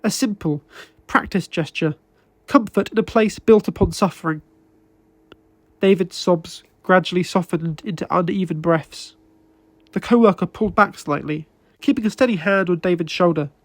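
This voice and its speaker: A man reading literary fiction as a narrator, with a deliberate, emotive delivery and dramatic pauses. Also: high-pitched, dry recording. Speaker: narrator and author reading his own short story. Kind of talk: dramatic scripted audiobook narration.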